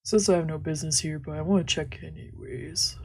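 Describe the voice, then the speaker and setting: A woman's voice: low voice, a little fast, gravelly, darkish tone, husky. A woman streaming a visual novel, reading its narration aloud and adding casual commentary. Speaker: let's play streamer. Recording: music behind the voice. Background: game music.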